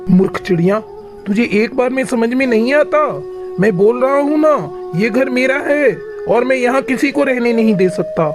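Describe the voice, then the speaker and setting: Woman as high-voiced bossy male crow. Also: animated, moderate pace, lightly acted. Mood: angry. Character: bossy male crow homeowner, gruff but soft-hearted. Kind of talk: Hindi children's moral-story cartoon dub. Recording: music clearly behind the voice.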